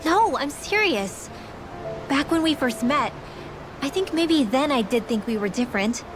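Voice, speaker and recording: A woman's voice: sits high, expressive, a little slow. An actress playing an earnest, loyal young mage girl in a video game cutscene. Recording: music behind the voice.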